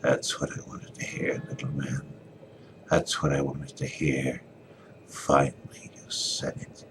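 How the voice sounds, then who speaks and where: Guy doing a mean domineering dad from a dramatic story, super deep, menacing, noisy recording. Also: little pitch movement, measured pace. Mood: gloating. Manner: menacing, taunting. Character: cruel, gloating, domineering abusive father.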